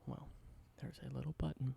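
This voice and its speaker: A man doing a whispered ASMR roleplay, explaining things slowly and correcting himself now and then. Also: medium pitch, flat delivery, gravelly, background noise. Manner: soft whisper, slow explanations with small self-corrections. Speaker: ASMR creator.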